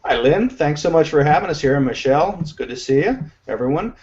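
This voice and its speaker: A male chef joining a panel on a video call, friendly and relaxed. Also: on the high side, brisk, dull audio, a little hiss or hum. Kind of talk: casual chat on a video call.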